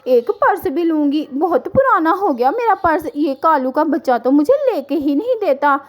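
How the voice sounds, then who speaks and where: A woman's voice: high-pitched, lively intonation, normal speed. A woman voicing a vain, sly female bird thief in a children's cartoon story, with a theatrical manner. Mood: gloating, scheming.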